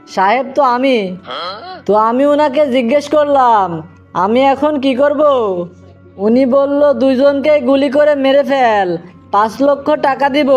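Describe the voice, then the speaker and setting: Man as high-pitched excitable young cartoon fellow. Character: excitable young cartoon character in comic skits. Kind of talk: scripted cartoon comedy. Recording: dull lo-fi audio with music.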